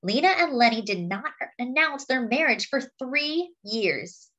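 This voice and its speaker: A woman reading a picture book aloud, with expressive, dramatic phrasing. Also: fairly high pitch, a little slow, muffled recording. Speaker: storybook reader.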